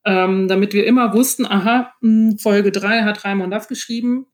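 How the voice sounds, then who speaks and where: A woman's voice: mid-range voice, steady tone, normal speed. German woman explaining her work in conversation. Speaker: guest, author of novels and audio dramas.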